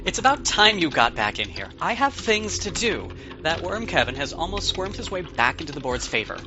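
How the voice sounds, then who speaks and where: A man's voice: a little high, big pitch swings, normal speed. A man playing an impatient, greedy, foul-mouthed corporate opportunist with a brusque manner in an audio drama. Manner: brusque, profane. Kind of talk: scripted audio drama acting. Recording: slightly dull lo-fi recording. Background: music, keyboard typing.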